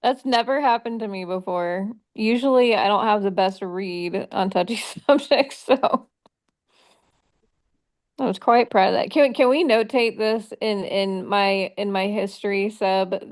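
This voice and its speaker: A woman's voice: medium pitch, unhurried. Woman in an online game, chatty, pokes fun at herself, video call mic. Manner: chatty and self-deprecating. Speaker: game contestant. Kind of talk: casual chat.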